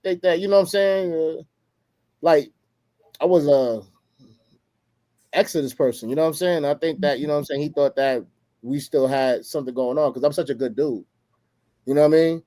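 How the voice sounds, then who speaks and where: A man's voice: a little high, moderate pace, long pauses, dark tone, no room sound. An American man co-hosting a casual relationship talk, telling stories in loose slang with a joking, boastful swagger and repeated check-in phrases. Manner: slangy, jokingly boastful, frequent "you know what I'm saying". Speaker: podcast co-host. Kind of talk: casual relationship chat with anecdotes.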